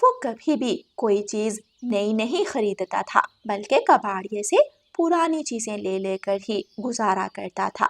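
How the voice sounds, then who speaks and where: A woman's voice: medium pitch, big pitch swings, unhurried. A woman narrating a scripted children's cartoon story in Hindi.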